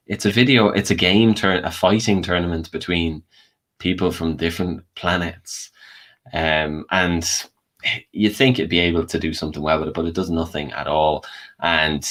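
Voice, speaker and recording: A man's voice: sits low, a bit bright. A man talking casually as a panellist, giving opinions in an informal film debate. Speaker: guest panellist.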